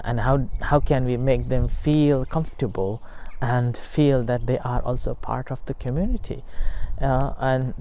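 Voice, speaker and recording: A middle-aged man speaks as a meditation teacher in a warm, mellow voice. His comes slowly, full of pauses and restarts, as he reflects aloud. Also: medium pitch, slight background noise. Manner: halting, many fillers and restarts. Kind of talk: unscripted dharma talk.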